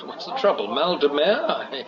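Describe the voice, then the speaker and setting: Very animated ship's doctor. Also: male voice, fairly high pitch, moderate pace, crowd noise. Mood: affable. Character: affable, fussy English ship's doctor. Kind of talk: radio comedy drama acting. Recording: muffled radio play, loud music behind.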